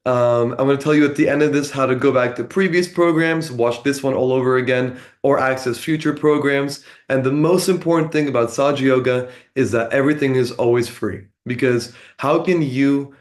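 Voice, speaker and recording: A young man from San Diego, California, hosting an online meditation program in a relaxed, friendly way with lots of uh and um. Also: mid-range voice, moderate pace, few pauses. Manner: relaxed, friendly, with many fillers like uh and um. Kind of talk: casual spoken introduction to a meditation session.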